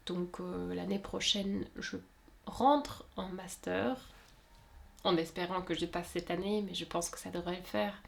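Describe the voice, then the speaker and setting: A woman's voice: fairly low pitch, fairly even intonation, measured pace, gravelly. Twenties woman, rambly casual chat to her viewers, lots of euhs and restarts. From Luxembourg. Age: 20s. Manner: chatty and rambling, many fillers and self-corrections. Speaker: YouTuber answering viewer questions.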